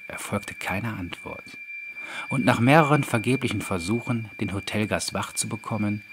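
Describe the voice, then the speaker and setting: A man's voice: fairly low pitch, gravelly, noisy background. A man narrating a scripted horror story as an audiobook reader. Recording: background music under the voice. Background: music.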